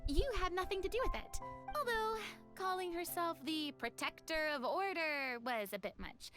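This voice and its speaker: A woman playing an opinionated, curious young woman in a video game, with an expressive conversational delivery. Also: very high voice, moderate pace, over music. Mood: amused, critical. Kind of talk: scripted video game dialogue.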